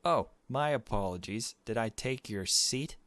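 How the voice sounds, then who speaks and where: A man's voice: mid-range voice, lively intonation, unhurried, a bit bright, smooth, no echo. A man voicing a polite, genteel, slightly awkward man in a visual novel. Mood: polite, friendly. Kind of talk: visual novel character read-aloud.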